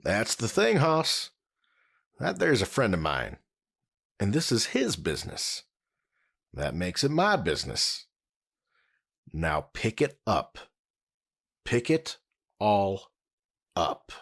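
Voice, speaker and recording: A man plays a big, protective fellow in an audio drama. His gravelly voice swings widely in pitch, and his talk is folksy and blunt. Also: huge pitch swings, slow, pauses often, a bit bright, exaggerated voice. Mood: stern, commanding. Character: big, protective, tough but friendly man.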